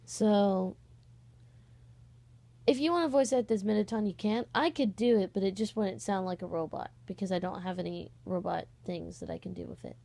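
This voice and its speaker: A young woman talks casually to her online followers, rambling a little and often pausing to correct herself. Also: medium pitch, vocal fry, warm tone. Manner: rambling, hesitant, self-correcting. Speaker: online voice-acting channel host. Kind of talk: casual vlog, audition call.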